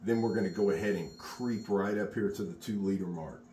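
A man's voice: low voice, brisk, dark tone, reverberant, slight background noise. A middle-aged man, a contractor, giving a casual, encouraging how-to demonstration while he works in an unfinished garage workshop, recorded on a phone camera. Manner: chatty and encouraging, talks while working. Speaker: contractor teaching epoxy work.

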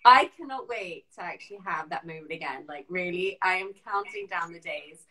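A woman's voice: lowish voice, normal speed, a crowd in the background, rough recording. A woman in her thirties hosting a casual, effusive chat interview over a video call. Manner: effusive, chatty. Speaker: host. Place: living room at home, indoors. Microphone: video call device.

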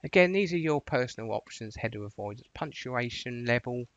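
A man narrating a step-by-step software tutorial in an informal, chatty instructional way. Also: mid-range voice, expressive, fairly quick, no echo. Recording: dull, lo-fi, dry close recording.

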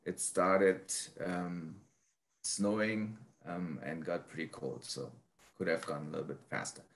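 A man presenting slides informally, speaking haltingly with frequent ums as he explains his work. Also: low voice, steady tone. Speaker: presenter, prefab builder.

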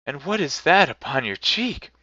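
A man voicing a gentle, otherworldly spirit who speaks in a solemn, measured way. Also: a little high, big pitch swings, no echo, voice pushed up, heavily acted. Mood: mild. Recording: dull lo-fi dry recording.

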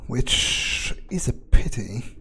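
A man's voice: mid-range voice, big pitch swings, extremely slow, gravelly, pushed and bright, rough recording. A man playing a game in character, musing and muttering his thoughts aloud. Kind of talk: in-character gameplay commentary, thinking aloud. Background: faint game music.

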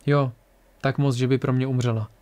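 Man reading as a young man from a poor planet, quiet, earnest, hurt, mid pitch. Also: level tone, natural voice.

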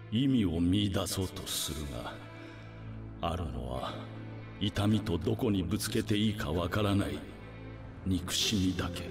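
A man playing a somber, weary ninja delivering a solemn, brooding monologue in an anime game, his voice reverberant. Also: fairly low pitch. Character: somber, weary, world-worn male ninja in a vision. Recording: echoing reverberant voice over music.

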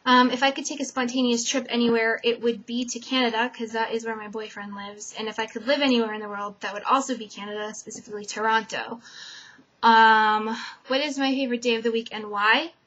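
A young woman with a fairly high voice rambles through a list of personal questions, filling gaps with "um" and letting her sentences trail off quietly. She is from Connecticut and talks casually into a webcam in a dim bedroom. The audio sounds muffled and lo-fi. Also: flat delivery, a little fast, a little hiss or hum. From Connecticut, USA. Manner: chatty, many "um" fillers, trailing off softly at sentence ends. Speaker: vlogger. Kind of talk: casual tag-video chat.

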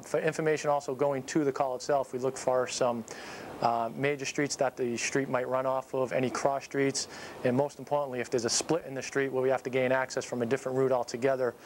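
A man in his thirties giving an informal training talk as an instructor, explaining things in a halting, matter-of-fact way. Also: mid-range voice, a little hiss or hum. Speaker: paramedic instructor. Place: small dispatch office, indoors. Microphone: clip-on lapel mic.

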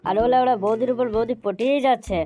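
A woman's voice: fairly high pitch, fast, dark tone, soft background music, natural voice. A woman voicing a brash, foul-mouthed, exclamatory young man in an amateur comedy cartoon. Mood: mocking, irritated. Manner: sweary, exclamatory, mocking.

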